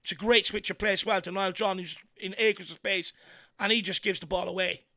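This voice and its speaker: An Irish man hosting a fan watchalong, giving excitable partisan live commentary on a football match with a croaky, worn voice. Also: high-pitched, fairly quick. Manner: excitable partisan, shouts encouragement at players. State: voice going croaky from hours of talking.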